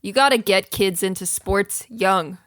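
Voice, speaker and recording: A woman's voice: mid-range voice, a little slow. A woman chatting casually on a comedy panel. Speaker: panelist in sports coach persona.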